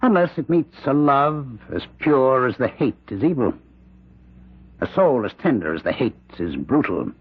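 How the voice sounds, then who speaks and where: A sinister prisoner who claims a killer's spirit, in an old radio play. His husky voice swoops widely as he taunts and orates. The recording is dull and lo-fi. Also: medium pitch, big pitch swings, moderate pace. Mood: anguished intensity. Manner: taunting, theatrical, oratorical. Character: sinister prisoner possessed by Jack the Ripper's spirit. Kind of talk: 1970s radio drama acting.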